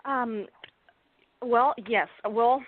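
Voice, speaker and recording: A woman's voice: fairly high pitch, very slow. Chatty Bulgarian-born woman on a tinny line. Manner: chatty, laughs often, many ums and restarts. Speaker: guest, children's author. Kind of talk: casual interview chat. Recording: dry tinny phone-like line.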